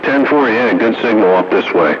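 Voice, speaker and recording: A man's voice: lowish voice, lively intonation, normal speed, dull audio. A man on CB radio, chatting casually in laid-back operator slang. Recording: noisy CB radio with background hiss.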